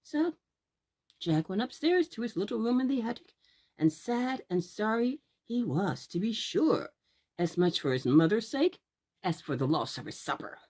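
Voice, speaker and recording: A woman reading aloud as a children's storyteller, theatrical and deliberate, speaking directly to young listeners. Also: mid-range voice, very animated, a little mellow. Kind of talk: expressive read-aloud storytelling.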